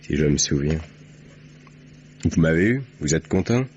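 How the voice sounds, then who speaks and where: A bitter, resigned prisoner with a very deep voice. He speaks tersely and sardonically, in old radio drama style. The recording is dull, with some hiss. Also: animated, a little slow, darkish tone. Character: bitter condemned man, weary and resigned. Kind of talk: old French radio mystery acting.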